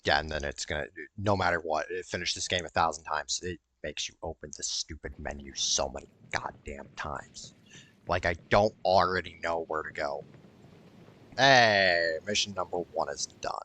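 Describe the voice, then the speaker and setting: A man's voice: deep voice, moderate pace, bright, forceful tone. A man rambling through casual gameplay commentary, swearing and sounding wryly exasperated as he streams. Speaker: video game streamer.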